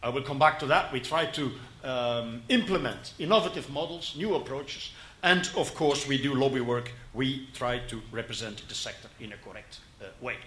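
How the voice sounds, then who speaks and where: A man in his fifties from Belgium, a native Flemish speaker, giving a conference presentation in English with a Flemish accent at a podium microphone. He speaks haltingly, with frequent fillers and restarts. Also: mid-range voice, a bit bright. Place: conference hall. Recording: echoey conference hall.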